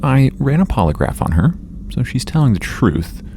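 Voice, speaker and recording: Casting: assured scientist hero, dark soft tone, varied intonation, clinical manner. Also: male voice, medium pitch, very animated, moderate pace, a little hiss or hum. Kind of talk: audio drama acting.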